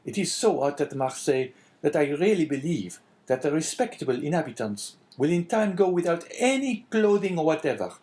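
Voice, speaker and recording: A man's voice: fairly high pitch, very animated, normal speed, darkish tone, pitched above the performer's own voice. A man voicing an obsequious, talkative French innkeeper who is eager to please, in a storybook reading. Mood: obliging. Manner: fawning, effusive, talkative.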